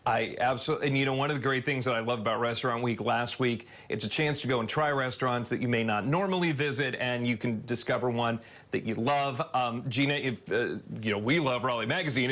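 A middle-aged man anchoring a TV studio interview, friendly, with a few halting restarts. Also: medium pitch, fairly bright tone. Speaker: news anchor.

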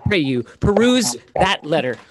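A man's voice: on the high side, sing-song, measured pace. A man playing a wronged, indignant steward in a Shakespeare comedy read. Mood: pleading, indignant. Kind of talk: live table read of Shakespearean verse drama. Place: indoor room, video call.

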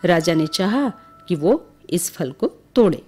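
A woman's voice: lowish voice, very animated, takes it slowly, a little mellow, slight background noise. A woman narrating a scripted bedtime story for children as the storyteller. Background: music with chimes.

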